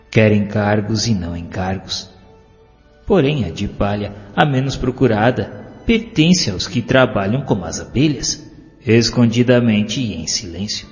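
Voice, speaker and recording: A man with a Brazilian accent narrates a scripted inspirational story in a measured, solemn, literary way. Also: mid-range voice, a little mellow. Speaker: audiobook narrator. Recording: dull lo-fi, long reverb, music behind. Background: music.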